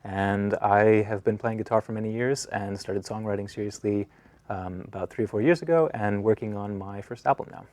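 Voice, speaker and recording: A man's voice: fairly low pitch, a little fast, a little mellow, no echo. A young man with an American accent, speaking casually as a guest songwriter. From American Midwest and West. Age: young adult. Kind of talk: casual self-introduction.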